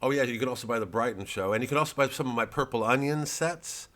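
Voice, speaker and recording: A middle-aged man, a comedian, talking in a rambling, sardonic monologue that swings into loud, mocking asides. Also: mid-range voice, quick pace. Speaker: comedian promoting his work.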